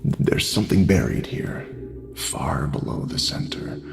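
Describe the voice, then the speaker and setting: A man playing a sinister talking pig in an audio drama, seductive and manipulative, with breathy persuasion that slips into whispers. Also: rumbling low, sing-song, full character voice. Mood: seductive, menacing. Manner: slow, breathy persuasive delivery slipping into whispers. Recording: music under the voice.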